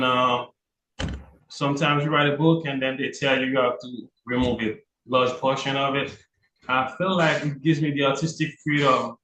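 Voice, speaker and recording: A man's voice: medium pitch, fairly bright tone. Haitian-accented man, earnest author, echoey room. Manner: thoughtful, earnest, with frequent "uh" pauses. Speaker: author guest. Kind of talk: casual interview conversation. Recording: roomy echo, faint background noise.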